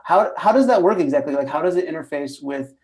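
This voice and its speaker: A man co-hosts a podcast in a relaxed, chatty way, his voice gravelly and full of fry. He fills his sentences with "like" as he talks. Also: fairly high pitch, fast. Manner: casual, uses "like" a lot. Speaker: podcast co-host. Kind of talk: casual podcast chat.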